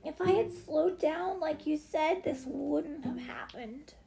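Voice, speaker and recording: Lady doing an excitable little boy in a kids story, really high, eager, music behind. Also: slow pace, vocal fry, exaggerated voice, rough recording. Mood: sheepish. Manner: eager, childlike.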